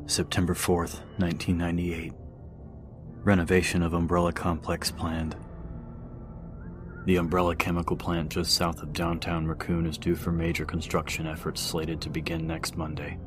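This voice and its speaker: Casting: male audiobook narrator, low and flat, measured newspaper-report reading over clear background music.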